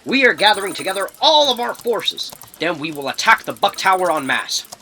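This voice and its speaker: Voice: medium pitch, big pitch swings, fairly quick, pushed and bright, slight background noise. An actor voicing a defiant rebel leader bird with an emphatic, declamatory delivery in a comic dub. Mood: rallying. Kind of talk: amateur comic dub acting.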